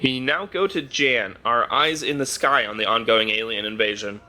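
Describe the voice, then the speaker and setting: A man's voice: mid-range voice, normal speed, noisy background, natural voice. A man playing an earnest radio news anchor delivering breaking news in an audio drama. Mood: brisk, official. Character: earnest, oddly priority-confused male radio news anchor.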